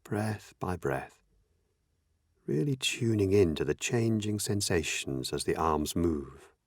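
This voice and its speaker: A man with a fairly low voice guides a meditation in a close, dry recording. His delivery is gentle and measured, with lively rises and falls in pitch. He leaves long pauses between slow, simple instructions. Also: no room sound. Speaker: meditation teacher.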